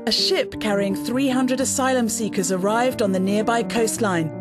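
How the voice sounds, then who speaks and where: Reporter voice-over: woman, higher pitch, steady read, heavy music underneath. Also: moderate pace. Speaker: news reporter. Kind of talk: scripted voice-over narration.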